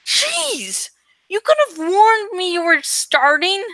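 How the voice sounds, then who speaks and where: A teenage girl heroine from an adventure storybook, played by a woman reader. Her voice is high and bright, and she is brisk and jumpy, speaking in terse, exclamatory phrases. The sound is dry. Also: very animated, dry recording, exaggerated voice. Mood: startled.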